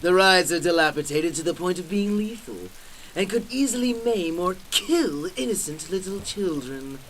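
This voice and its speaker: A man's voice: high-pitched, lively intonation, normal speed, fairly bright tone, a little hiss or hum, rough recording. A man playing a gleefully sadistic villain clown in a radio drama, mock-polite and flamboyant, drawing out words and cackling. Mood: gleeful sarcasm.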